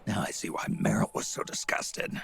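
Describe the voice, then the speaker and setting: An actor playing a gruff, world-weary old soldier in a video game, with a very raspy growl and a terse, blunt, suspicious manner. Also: male voice, normal speed, a bit bright, slight background noise, natural voice. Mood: accusing. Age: older. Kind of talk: dramatic video game voice acting.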